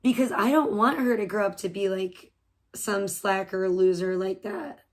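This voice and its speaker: Hoarse, rambling woman live-streamer. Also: mid-range voice, normal speed. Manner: rambling, swears freely, laughs often. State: slightly hoarse voice. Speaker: livestreamer. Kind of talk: casual live-stream chat with viewers.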